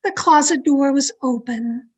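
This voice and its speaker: A woman playing a tense, secretive woman who narrates her own crime in a deliberate, dramatic old-time radio mystery style. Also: high voice. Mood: tense, brooding. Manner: deliberate, dramatic, suspenseful delivery. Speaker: actor in an online radio play performance. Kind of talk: 1940s radio mystery acting, first-person narration. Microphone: video call. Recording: noisy home video-call recording.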